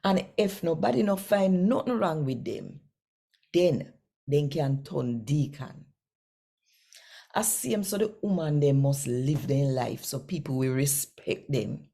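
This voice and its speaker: A woman with a Jamaican accent reads scripture and speaks casually to her listeners. Her voice is low and mellow, with a husky edge. She takes her time, in a folksy, conversational way. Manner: warm, folksy, conversational Creole phrasing. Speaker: scripture reader. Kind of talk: daily scripture reading and casual address.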